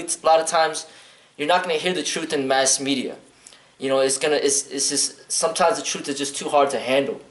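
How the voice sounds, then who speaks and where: A man's voice: medium pitch, reverberant, poor audio. A man from Chicago, a martial arts instructor, answering interview questions bluntly and earnestly, rambling with restarts and "um". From Chicago, United States.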